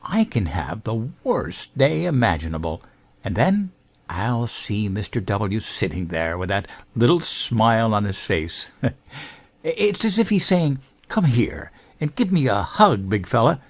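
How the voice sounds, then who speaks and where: A man's voice: on the low side, big pitch swings, a little slow, full character voice. A man playing a bumbling, earnest American president in a comedic audio drama, with a hesitant, stammering delivery. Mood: fond, sentimental. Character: bumbling, childlike, earnest American president, teddy bear lover.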